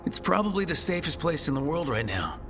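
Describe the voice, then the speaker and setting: An actor playing a bold, protective young hero in a video game, blunt and direct. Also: male voice, on the high side, sing-song, brisk, a bit bright. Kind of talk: video game dialogue acting. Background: music.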